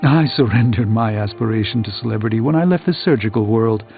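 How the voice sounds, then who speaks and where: An actor playing a proud, weary middle-aged sorcerer in a video game, speaking in measured, erudite, dryly wry phrases. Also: male voice, fairly low pitch, creaky. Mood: troubled, reflective. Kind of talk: theatrical video game character dialogue. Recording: muffled game audio under music.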